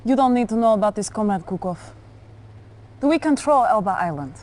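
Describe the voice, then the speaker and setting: An actress playing a cool, efficient Soviet briefing officer, controlled and authoritative, in a game cutscene. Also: female voice, a little high, expressive, fairly quick, darkish tone, natural voice. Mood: brisk, commanding.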